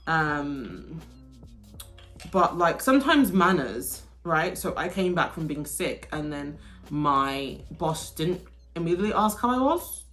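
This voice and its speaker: A young woman from the UK talks through a casual Q&A while doing her makeup, rambling and filling her sentences with "like." Her voice sits low and deep for a woman. Soft music plays quietly behind her. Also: big pitch swings, slow. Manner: chatty, lots of "like" and restarts. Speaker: vlogger, teacher in Korea. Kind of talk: casual get-ready-with-me Q&A.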